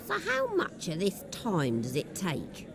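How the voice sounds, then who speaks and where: A woman's voice: on the low side, huge pitch swings, slow, vocal fry, heavily acted. An actress playing a practical village woman baker with a plain, matter-of-fact manner. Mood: homely. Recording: game cutscene, music and crowd.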